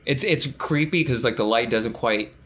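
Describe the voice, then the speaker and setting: A man's voice: medium pitch, normal speed, fairly bright tone. A man acting as game master, narrating an improvised tabletop game in a casual way.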